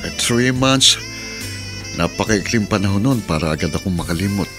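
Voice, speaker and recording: Man acting a low-voiced, heartbroken drinking bachelor. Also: lively intonation, normal speed, music in the background, natural voice. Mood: self-pitying. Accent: Filipino. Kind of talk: Filipino radio drama acting.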